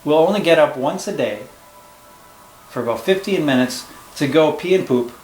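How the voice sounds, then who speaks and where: A man's voice: on the high side, animated, moderate pace, darkish tone, echoey room, soft background music, rough recording. A man teaching at home, explaining a storybook lesson to a child patiently and step by step. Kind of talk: casual classroom discussion.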